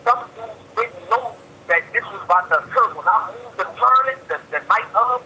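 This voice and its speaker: A man's voice: high voice, animated, moderate pace, bright, forceful tone. A man being interviewed by phone, talking in casual street slang, emphatic and repeating himself. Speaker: jail inmate being interviewed. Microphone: telephone handset. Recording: tinny jail phone call, faint noise.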